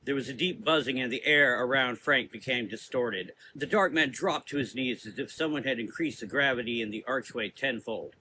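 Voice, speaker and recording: Forceful male gothic story narrator. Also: mid-range voice, normal speed, hardly any pauses, slight background noise, poor audio.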